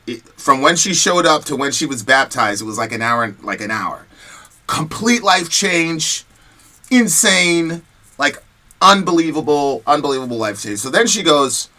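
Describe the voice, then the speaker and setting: A man giving casual, slangy commentary and telling personal stories in an animated, conversational way, as the host of a show. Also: mid-range voice.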